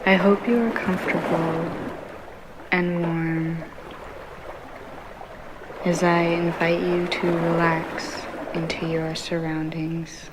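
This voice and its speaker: A woman guides a meditation in a soothing, low-set voice that stays very even. She speaks extremely slowly, leaving long pauses between short phrases. Waves and rain are clearly heard behind her. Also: very noisy. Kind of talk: guided yoga nidra meditation, ASMR. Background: ambient ocean waves and rain.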